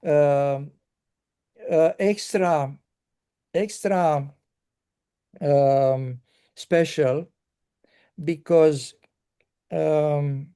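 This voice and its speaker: A man hosting a casual conversation, talking haltingly with many pauses and fillers. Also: a little high, dark tone, clean tone. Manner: halting, pausing often with fillers.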